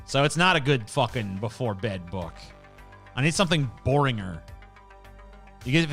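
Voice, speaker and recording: A man's voice: mid-range voice, bright, forceful tone. A man hosting a livestream, reading chat comments aloud in a rambling, profane, casual way. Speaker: livestream host.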